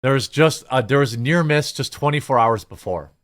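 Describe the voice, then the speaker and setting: A man with a mid-pitched voice gives off-the-cuff commentary on the news. He is blunt and opinionated, leans on rhetorical questions and mixes read-out copy with his own reactions. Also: animated, brisk. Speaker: host of a news commentary show. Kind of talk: off-the-cuff opinion commentary on news, partly reading articles aloud.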